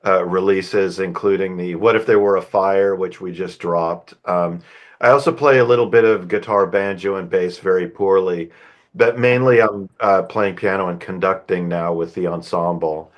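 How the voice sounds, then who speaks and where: A middle-aged man from the United States, a composer chatting as a guest in an interview, relaxed and talkative with fillers and restarts. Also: sits low, normal speed, muffled recording. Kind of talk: casual interview chat.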